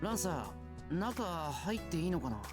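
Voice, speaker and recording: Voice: medium pitch, sing-song, a little fast, lightly acted. An actor playing a young visual novel protagonist with plain, informal speech. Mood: curious. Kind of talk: Japanese visual novel voice acting. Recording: game dialogue over music.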